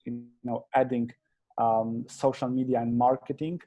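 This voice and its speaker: Soft-toned Polish man, hesitant. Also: medium pitch, little pitch movement, a little slow. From Poland. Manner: hesitant, many restarts and fillers, earnest. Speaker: interview guest, agency founder. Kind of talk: conversational interview.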